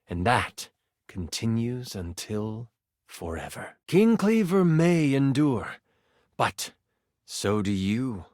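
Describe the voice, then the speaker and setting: A man playing an excitable game master in a video game, narrating a fantasy adventure with theatrical, suspenseful expression. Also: mid-range voice, dry recording, studio quality. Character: personified twenty-sided die, an excitable male game master. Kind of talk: dramatic tabletop fantasy narration.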